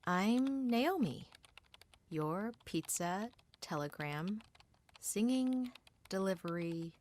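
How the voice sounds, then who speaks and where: A woman playing a halting pizza delivery worker with drawn-out phrases in a radio comedy. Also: medium pitch, animated, a little mellow.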